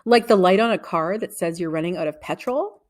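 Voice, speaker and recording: A woman's voice: on the low side, fairly quick, a little mellow, lightly acted. A woman voicing an anxious, cautious schoolboy in a children's story, hesitant and questioning.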